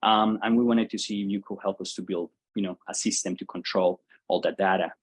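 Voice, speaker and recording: Colombian-accented man in his thirties, Spanish native, lowish voice, halting and filler-heavy interview guest. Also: steady tone. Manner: hesitant, many fillers and restarts. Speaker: guest, software entrepreneur. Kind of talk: casual interview answer.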